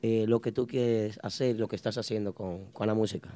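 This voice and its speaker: A man hosting a casual radio talk show in a studio, introducing and welcoming a guest with lively interjections. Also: lowish voice, brisk. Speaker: radio host.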